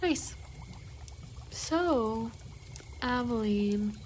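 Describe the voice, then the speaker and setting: A woman's voice: on the high side, expressive, extremely slow, darkish tone, slightly rough, full character voice. A woman playing a brash young werewolf in an audio drama, blunt, swaggering and sarcastic. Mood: groggy, dry.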